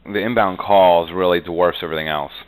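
Low, clear-voiced man talking shop casually. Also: steady tone, a little fast, low-quality recording. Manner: conversational, repeats "you know" heavily. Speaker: real estate agent, interview guest. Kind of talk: casual business interview answers.

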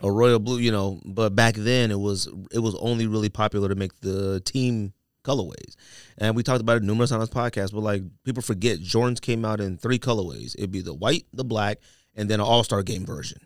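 A man's voice: on the low side, fairly quick, no echo, studio quality. An American man co-hosting a casual podcast, talking in slangy, opinionated banter and repeating himself for emphasis. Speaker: podcast co-host. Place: podcast studio.

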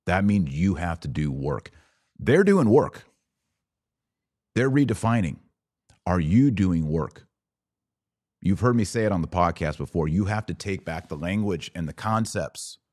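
A low-pitched American man speaks in a dry, close studio sound. He hosts an opinion podcast, pressing his points emphatically and preachily, often through rhetorical questions. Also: expressive, unhurried, pauses often, a little mellow, no room sound. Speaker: podcast host. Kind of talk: opinion commentary monologue.

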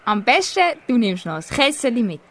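A woman's voice: a little high, big pitch swings, brisk, fairly bright tone. A teenage girl with a Swiss German accent playing a timid but helpful animal in an amateur radio play.

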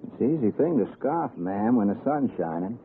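An actor playing an earnest, deferential mountain lawman with an Appalachian accent and halting, folksy manner in 1960s radio suspense. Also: mid-range voice, very animated, slight background noise, close to an everyday voice. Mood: earnest warning. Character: slow-spoken, earnest backwoods mountain lawman, locally born. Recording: tinny old radio broadcast.

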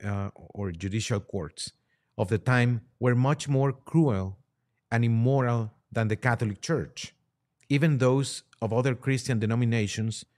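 A man reading quotes aloud and commenting on them as a podcast guest, with halting, deliberate phrasing. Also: mid-range voice, darkish tone.

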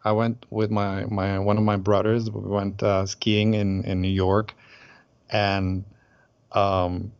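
A man's voice: fairly low pitch, flat delivery, takes it slowly, smooth, no room sound, lo-fi sound. A man from Aruba who organizes group trips, chatting in an interview with many hesitant fillers and restarts. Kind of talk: casual interview chat.

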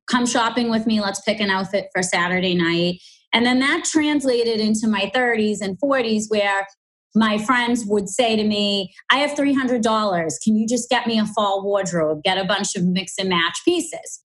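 Boston woman, forties, podcast guest; chatty storyteller, mid-pitched voice. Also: measured pace, low-quality recording. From Boston, USA. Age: 40s. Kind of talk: casual interview chat.

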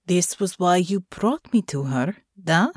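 Audiobook role: gentle young farm woman, voiced by a female narrator, earnest, careful with each word, close dry sound. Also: lowish voice, animated, dry recording. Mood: calm, probing. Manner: soft, careful, deliberate, formal phrasing. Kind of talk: audiobook character dialogue.

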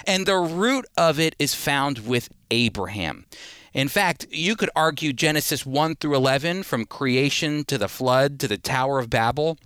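A man's voice: fairly high pitch, pushed and bright, clear, resonant tone, dry recording, studio quality. A man hosting and teaching the Bible in a conversational, earnest, emphatic way, speaking directly to listeners. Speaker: radio Bible teacher and host.

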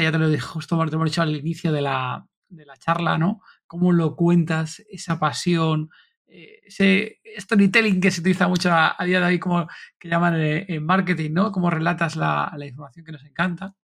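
Presentador español de voz rasposa. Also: male voice, fairly high pitch, fairly even intonation, moderate pace, fairly bright tone. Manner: friendly, steers the conversation. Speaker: podcast co-host. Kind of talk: casual interview chat.

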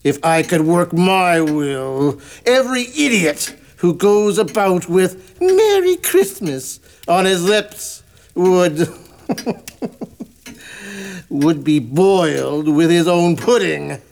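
A bitter old miser in a radio drama, with a high, gravelly voice that swoops with scorn. He barks and sneers in contempt, grouchy to the core. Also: animated, takes it slowly, darkish tone, a little hiss or hum, full character voice. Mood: scornful. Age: older.

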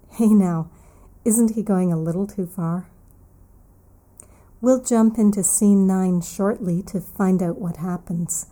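A woman teaches English vocabulary in a slow, deliberate way, pausing over each word and saying it again. Her voice sits in a middle register with a very dark, mellow tone. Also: mid-range voice. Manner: slow, careful explaining, repeats key words. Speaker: English teacher and podcast host. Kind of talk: English vocabulary lesson for learners.

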